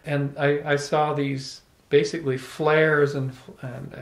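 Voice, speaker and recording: Gravelly man telling a story in an echoey room. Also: fairly high pitch, a little slow. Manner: animated, playful storytelling with laughs. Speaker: salon speaker. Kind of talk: storytelling to a small audience. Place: room with small live audience. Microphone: handheld microphone.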